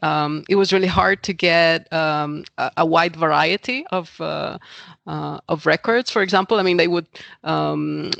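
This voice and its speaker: Middle-aged man from Costa Rica, gravelly tenor, halting guest chat in a dry, close sound. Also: on the high side, fairly bright tone, dry recording. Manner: hesitant, many fillers and restarts, laughs often. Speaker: podcast guest. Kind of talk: casual interview chat.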